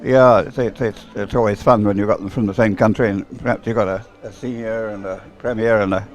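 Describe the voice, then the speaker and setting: A man giving live, conversational race commentary in a halting way, repeating words as he goes. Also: lowish voice, steady tone, faint music behind. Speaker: sports commentator.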